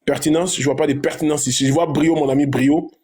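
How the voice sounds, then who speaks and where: High-voiced insistent male show host. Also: fairly even intonation, fast, darkish tone. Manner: theatrical, repeats questions insistently. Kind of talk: live-stream talk show hosting.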